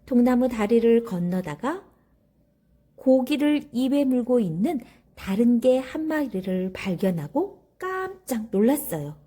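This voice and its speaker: Animated, high-pitched woman telling children's stories. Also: measured pace, slightly rough. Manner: didactic, addressing children directly. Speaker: teacher and storyteller for children. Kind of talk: children's story reading with commentary.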